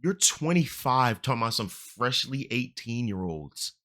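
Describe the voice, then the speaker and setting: Loud, joking man in his twenties. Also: medium pitch, expressive, measured pace. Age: 20s. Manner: loud, jokey, slangy banter. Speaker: streamer. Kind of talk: reaction stream commentary.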